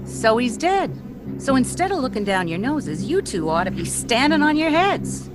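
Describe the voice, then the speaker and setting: A woman's voice: sits high, sing-song, normal speed, background noise. A woman playing a vain, callous, scolding Hollywood film actress in a 1940s radio drama style. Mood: gloating, callous. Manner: brassy, scolding wisecracker.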